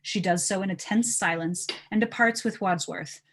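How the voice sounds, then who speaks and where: A woman with a mid-pitched voice narrates a screenplay at a casual table read. She reads the stage directions as scripted narration. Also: moderate pace, a bit bright.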